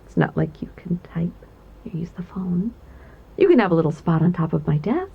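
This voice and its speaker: A woman playing a gentle giantess secretary in an ASMR roleplay, doting and coy, with a soft, breathy voice that often slips toward a whisper. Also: on the low side, sing-song, moderate pace, dull audio, exaggerated voice. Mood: gentle, reassuring. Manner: tender, coy, hesitant, giggly asides. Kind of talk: ASMR roleplay, intimate one-sided conversation.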